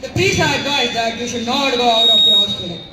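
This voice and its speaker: A very high-pitched Roman servant acted by a young man reports deferentially on stage. The voice is distant and echoey under loud noise. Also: lively intonation, a little fast. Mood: urgent, deferential. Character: deferential male servant bringing omens. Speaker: school play actor. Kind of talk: school stage play acting. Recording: echoey, very noisy hall from far off.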